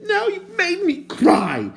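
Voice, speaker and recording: A man voicing a spirited young girl in an audiobook, high-voiced and indignant. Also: big pitch swings, moderate pace, creaky, a little hiss or hum, full character voice. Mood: tearful.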